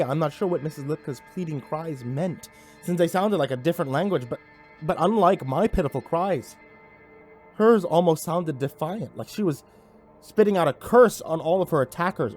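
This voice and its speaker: A man's voice: mid-range voice, darkish tone. A man giving an intense, theatrical first-person narration of a scripted horror story.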